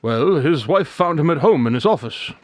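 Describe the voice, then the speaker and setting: A man's voice: on the high side, expressive, normal speed, no room sound, natural voice. A man acting a shrewd, unflappable private detective in a modern radio drama, with a wry, knowing, teasing manner. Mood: businesslike.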